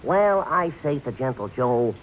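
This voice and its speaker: An actor playing a small-time racetrack bookie who talks in florid, overly formal phrases mixed with track slang, in a 1940s radio play. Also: male voice, mid-range voice, big pitch swings, unhurried. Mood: pompous. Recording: muffled vintage radio broadcast.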